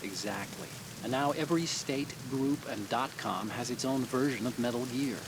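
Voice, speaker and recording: A man's voice: medium pitch, normal speed, noisy background, natural voice. An actor playing an earnest young male engineer giving mission briefings over a video game radio call, explaining things at length.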